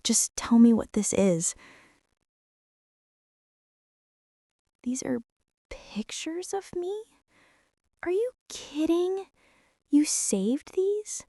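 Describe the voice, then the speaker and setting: A woman playing a young former bully in an intimate ASMR roleplay, speaking in a hushed, hesitant way that often slips toward a whisper. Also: high-pitched, dry recording, studio quality, heavily acted. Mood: stunned, flustered. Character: young female former bully, stunned, teasing, softening into tenderness.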